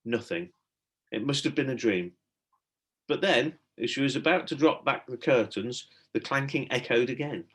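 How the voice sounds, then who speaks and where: A man with a medium-pitched voice reads a children's story aloud. He narrates like a primary teacher, expressively and with dramatic storytelling phrasing. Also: lively intonation, normal speed, fairly bright tone.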